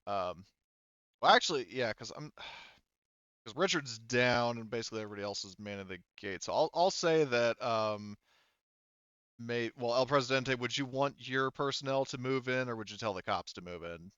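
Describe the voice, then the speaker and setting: A man working as a game master, running a tabletop roleplaying game casually and thinking aloud in a halting way. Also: medium pitch, animated, a bit bright, clear, resonant tone, no room sound, highs cut off.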